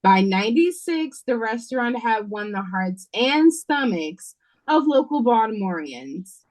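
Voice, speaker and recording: A woman's voice: a little high, sing-song, unhurried, a little mellow, low-quality recording. A woman playing a guarded, sarcastic waitress in an audio drama read-through, curt and exasperated. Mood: reciting. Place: remote reading over a video call. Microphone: video call.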